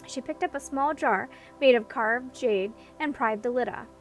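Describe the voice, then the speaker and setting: A woman's voice: fairly high pitch, moderate pace, soft background music. A woman reading a scripted story as an audiobook narrator.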